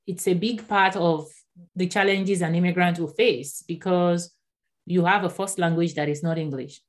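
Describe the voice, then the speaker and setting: A Nigerian-born woman in her thirties whose first language is Yoruba, speaking as an author and guest in a conversational interview, animated and quick to laugh. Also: lowish voice. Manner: animated, laughs often, repeats words when starting a thought.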